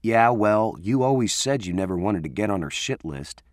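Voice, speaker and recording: A man's voice: fairly low pitch, expressive, normal speed, no echo, studio quality, lightly acted. A man voicing a smug teenage boy in an audiobook story, teasing and wisecracking.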